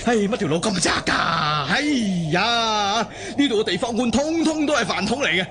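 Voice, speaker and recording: An actor playing a pompous, clumsy army general in a radio drama, bellowing and whining with self-importance. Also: male voice, high-pitched, expressive, moderate pace. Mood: flustered. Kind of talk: Cantonese radio drama acting. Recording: clearly noisy radio drama soundtrack.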